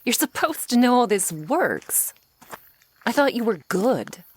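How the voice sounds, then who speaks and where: A woman playing a teasing, menacing century-old female vampire in an intimate ASMR roleplay, sardonic and unhurried, sometimes slipping toward a whisper. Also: on the high side, sing-song, breathy edge, heavily acted. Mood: incredulous, mocking.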